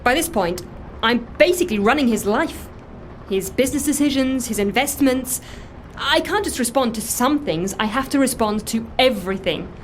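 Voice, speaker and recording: Earnest woman, higher voice, guilt-ridden, confessional, matter-of-fact justifications, audio fiction, faint ambience behind. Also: slight background noise. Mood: defensive, earnest. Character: earnest, guilt-ridden woman secretly running a dead man's life. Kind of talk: audio fiction drama acting, naturalistic dialogue.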